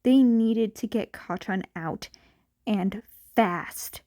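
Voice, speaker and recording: Slow-paced woman reading a story. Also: medium pitch, mellow. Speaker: amateur audiobook narrator. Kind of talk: expressive scripted fan fiction narration.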